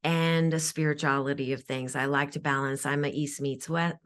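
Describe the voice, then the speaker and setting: Low-voiced woman with a Japanese accent. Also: steady tone, moderate pace. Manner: earnest, flowing, repeats words as she restarts. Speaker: guest, functional nutritional therapist. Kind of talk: explanatory interview talk.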